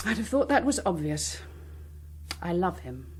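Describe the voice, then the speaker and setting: A woman's voice: fairly low pitch, big pitch swings, measured pace, slight background noise. An actress playing a bitter, proud, defiant woman, sardonic and clipped, in a naturalistic political drama. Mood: quietly wounded.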